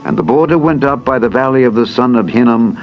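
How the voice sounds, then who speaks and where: A man reading scripture as a narrator in a solemn, measured, formal manner, as in a dramatized audio Bible. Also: mid-range voice. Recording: dull lo-fi audio with music behind. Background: background music.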